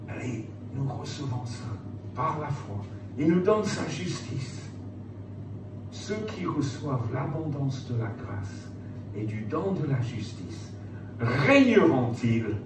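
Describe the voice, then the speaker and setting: A man preaching an evangelical sermon, emphatic, repeating phrases and putting rhetorical questions to his listeners. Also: medium pitch. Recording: roomy, distant mic, loud background noise.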